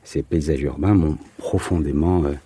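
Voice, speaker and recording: A man's voice: extremely low pitch, moderate pace, mellow, a little hiss or hum. A man in his forties from southern France answering interview questions conversationally, searching for words and repeating them for emphasis. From Marseille, southern France. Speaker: architect, interview guest.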